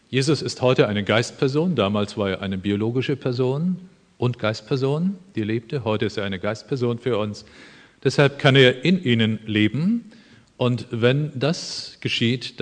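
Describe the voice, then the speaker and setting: Emphatic man preaching in a reverberant church. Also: medium pitch. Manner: earnest, emphatic, with dry asides. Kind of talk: sermon. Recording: long hall reverb.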